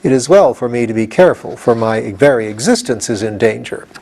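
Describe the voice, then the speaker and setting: A man's voice: medium pitch, animated, brisk, a little mellow, poor audio. A man voicing a pompous straw scarecrow king in a storybook, grand and declamatory in manner. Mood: self-important. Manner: grand, declamatory, formal phrasing. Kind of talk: storybook character reading.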